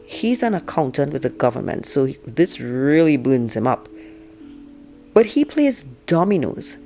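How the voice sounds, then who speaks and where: A woman reading a novel aloud like an audiobook narrator, expressively telling it as a teenage girl, with drawn-out emphasis. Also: low voice, warm tone. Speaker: teacher reading aloud to students.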